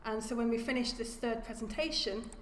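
A woman archaeologist giving an academic lecture at a lectern, speaking in a measured way and often repeating words as she corrects herself. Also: fairly high pitch. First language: English. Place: lecture room. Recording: echoey lecture hall with faint hum.

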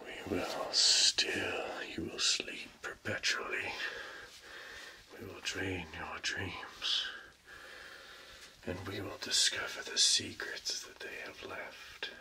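A man playing a cold, smug chancellor villain in a whispered ASMR role play, talking softly with menacing calm. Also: deep voice, slow, heavily acted. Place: dark room, masked in costume facing camera.

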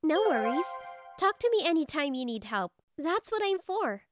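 Woman acting a high-voiced, friendly office senior. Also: big pitch swings, normal speed, over music. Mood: supportive. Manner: encouraging, friendly. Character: friendly, supportive young female senior office coworker. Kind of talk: manga video dub dialogue.

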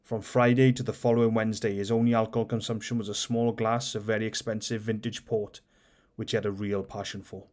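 A man reads a book aloud on his own channel, his voice sitting a little low. He keeps a steady, measured storytelling pace from sentence to sentence. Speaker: audiobook reader and channel host.

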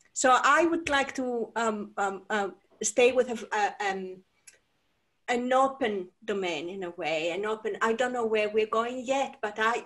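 A woman whose first language is Greek, moderating an online panel in a reflective, halting way over a video call. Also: a little high, fairly bright tone. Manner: reflective, halting with many fillers.

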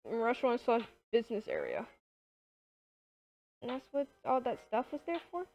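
A woman's voice: fairly high pitch, huge pitch swings, normal speed, vocal fry, darkish tone, faint music behind. A woman improvising a casual game roleplay as a video creator playing characters. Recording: dull, lo-fi microphone.

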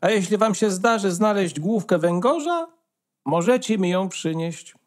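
A woman's voice: medium pitch, expressive, unhurried. A woman playing a haughty, disdainful old duck, a condescending grand lady in a fairy-tale radio play. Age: older. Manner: condescending, drawling.

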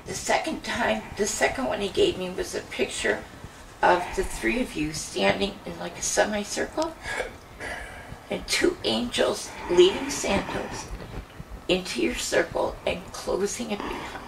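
A woman with a gravelly voice offers soft, encouraging words from her seat in a church. She is heard from a distance over a clear layer of background noise. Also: mid-range voice, measured pace. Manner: gentle, encouraging, often softly spoken. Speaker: congregation member. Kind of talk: casual church sharing. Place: church sanctuary, from the pews.

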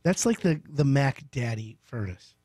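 A middle-aged man hosting a radio call-in interview in a small studio, speaking close to a large mic with animated, emphatic storytelling. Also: mid-range voice, measured pace, breathy edge, dry recording. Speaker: radio show host.

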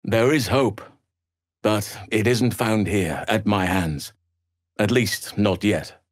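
An actor playing a solemn, gentle male druid of nature in a video game, speaking in a measured, earnest, formal way. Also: lowish voice, dry recording, clean studio sound. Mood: grave, earnest. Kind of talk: dramatic video game dialogue.

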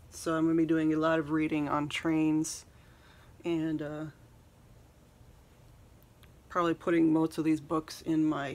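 Soft-spoken woman with gravelly, flat voice. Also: low voice. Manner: rambling, says "um" a lot. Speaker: beauty vlogger. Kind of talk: casual get-ready-with-me chat. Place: small bedroom, indoors. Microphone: phone camera at arm's length.

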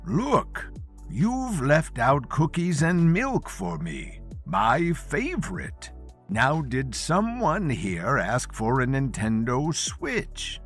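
A man voicing an improv actor playing a slow, jolly Santa Claus. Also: mid-range voice, wildly swooping pitch, a bit bright, faint music behind, pitched above the performer's own voice, full character voice.

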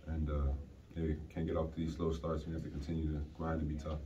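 A man's voice: very deep voice, brisk, a little hiss or hum. A young man, a college basketball player, answering postgame questions briefly and matter-of-factly. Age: young adult. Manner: flat, brief, matter-of-fact. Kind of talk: postgame press conference answers. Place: press conference room, seated at a table. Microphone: table microphone on a stand. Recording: dull, distant sound.

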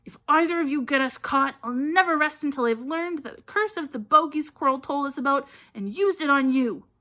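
Woman acting an irritable schoolboy, blunt, gruff, grumbling, indignant, children's storybook. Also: medium pitch, a little slow, sharp tone. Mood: irritated.

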